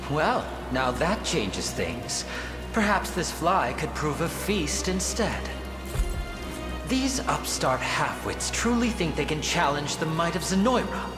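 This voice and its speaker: A man playing a haughty, theatrical young sorcerer lord in a video game, bitter and hungry for his mother's praise. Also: a little high. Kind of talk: video game cutscene acting. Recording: echoey, background music behind.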